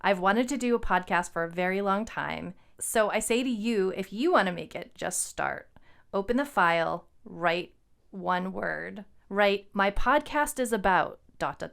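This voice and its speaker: A woman with a smooth, clean voice talks as a podcast co-host. She is informal and encouraging, like a writer handing out advice in easy conversation. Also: medium pitch, fairly bright tone. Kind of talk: casual conversational podcast talk.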